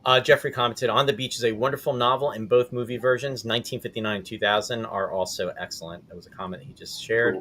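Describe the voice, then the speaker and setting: A man's voice: mid-range voice, fairly even intonation, fast, hardly any pauses, bright, forceful tone, slight background noise. A man hosting a casual talk show, chatting informally and reading out comments from viewers.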